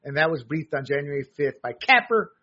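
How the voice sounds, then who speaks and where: A man's voice: on the high side, lively intonation, brisk, bright, forceful tone, breathy edge, lo-fi sound. A man hosting a political talk show, giving jokey, emphatic commentary and often repeating his words.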